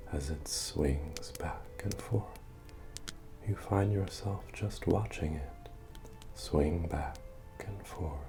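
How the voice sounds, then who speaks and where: Medieval innkeeper, male performer: bottomless low voice, unhurried, soothing, near-whispered ASMR roleplay, friendly and humble. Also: full character voice. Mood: hushed, hypnotic. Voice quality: deep, breathy, often near a whisper. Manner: slow, soothing, gently repetitive. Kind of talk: soft-spoken ASMR roleplay. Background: music.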